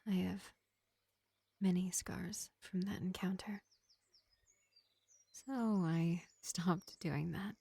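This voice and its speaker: A gentle vampire medic, played by a woman, speaks in a hushed, whispery murmur. The tone is soothing and unhurried, with a mellow, soft-edged timbre. It is a close, dry fantasy roleplay recording for a single listener. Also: on the low side, fairly even intonation, creaky, husky, no echo, full character voice. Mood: reassuring, regretful. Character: gentle, kindly vampire field medic tending a wounded elf. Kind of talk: roleplay audio drama addressed to the listener.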